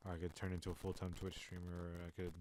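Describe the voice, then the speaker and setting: A man's voice: sits low, completely flat delivery, quick pace, a little mellow, a little hiss or hum. A young man hosting his own podcast, rambling casually with lots of fillers, restarts and swearing. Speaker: podcast host. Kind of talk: casual solo talk.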